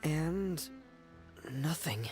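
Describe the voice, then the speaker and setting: An actor playing a shy, withdrawn, apologetic younger brother in a video game drama, soft-spoken and hesitant. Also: mid-range voice, expressive, drawn-out words. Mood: downcast. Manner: hesitant, subdued, quiet. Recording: game music clearly behind the voice.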